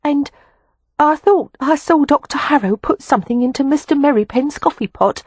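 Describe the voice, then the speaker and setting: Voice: sits high, moderate pace, a little mellow, no room sound, voice pushed up, lightly acted. An audiobook narrator voicing a nervous young housemaid who speaks hesitantly and falters. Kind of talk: audiobook character voice.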